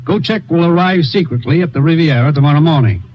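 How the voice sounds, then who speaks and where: An actor playing a brisk, authoritative male commissioner giving orders in a 1950s radio drama. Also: on the high side, close to an everyday voice. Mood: stern. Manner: crisp, businesslike, authoritative. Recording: dull old radio broadcast.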